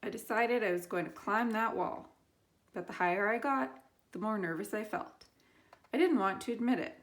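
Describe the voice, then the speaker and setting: A woman's voice: medium pitch, moderate pace. Woman reading a children's story aloud, expressively.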